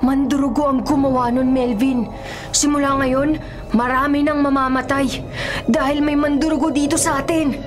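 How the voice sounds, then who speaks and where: A woman's voice: high-pitched, fairly even intonation, normal speed, soft background music, lightly acted. A woman playing a frightened, insistent young boy in a radio horror drama, earnest and dramatic. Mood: insistent, eerie.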